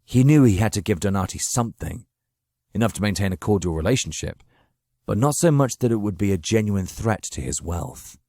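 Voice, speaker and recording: A man's voice: lowish voice, lively intonation, fairly quick, warm tone, no room sound, studio quality. A man narrating a dramatic story from a script as an audiobook reader.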